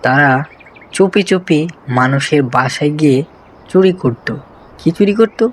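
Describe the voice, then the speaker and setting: Mid-pitched cartoon storyteller. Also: unhurried, a little hiss or hum, low-quality recording. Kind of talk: scripted cartoon story narration.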